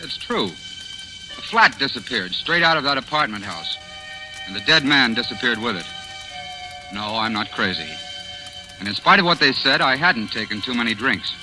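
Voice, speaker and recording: Casting: earnest young groom, protesting with very mobile pitch, 1940s radio drama with music behind. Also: male voice, huge pitch swings, moderate pace, pauses often, low-quality recording. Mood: insistent, rueful. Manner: protesting, pleading, defensive. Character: earnest, harried young bridegroom telling his story.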